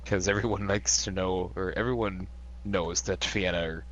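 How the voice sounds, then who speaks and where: A man's voice: low-pitched, fairly even intonation, moderate pace, a bit bright, lo-fi sound. A man playing in a tabletop game, joking and swearing freely in character. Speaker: player.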